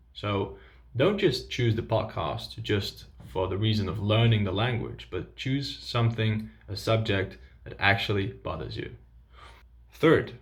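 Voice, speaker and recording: A young Dutch-speaking man talks viewers through his language-learning tips in a bright, list-like way. His voice sits a little low. He sounds like a coach presenting to his channel. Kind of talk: scripted video lesson.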